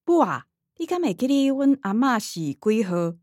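A woman's voice: a little high, sing-song, normal speed, darkish tone, no echo, clean studio sound. An actress with a Taiwanese Mandarin accent voicing a blunt, wry middle-aged mother in a radio drama.

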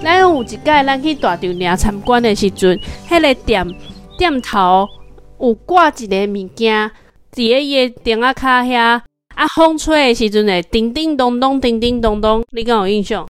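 A woman with a fairly high voice and a Taiwanese accent hosts a children's segment. She explains things in simple words and keeps putting questions to a small child. Soft music plays behind her. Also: animated, moderate pace, clean tone, no echo. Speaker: host of a children's segment, talking with a young child. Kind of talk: casual parent-child chat about a picture book.

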